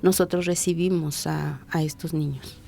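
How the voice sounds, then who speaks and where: A woman physician with a Mexican Spanish accent, explaining patiently and didactically in an interview, slowing down to stress key points. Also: low voice, fairly even intonation, gravelly, dark tone, slight background noise. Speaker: physician, hearing and speech specialist.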